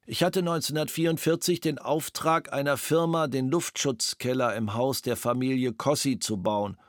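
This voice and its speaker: A man's voice: medium pitch, steady tone, normal speed, no echo, studio quality, close to an everyday voice. An actor reading the statement of a male witness in a formal, matter-of-fact way.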